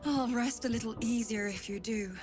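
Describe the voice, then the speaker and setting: A woman's voice: fairly high pitch, moderate pace, music in the background, lightly acted. A woman playing a caring, worried partner of a warrior in a fantasy video game, speaking earnestly and plainly.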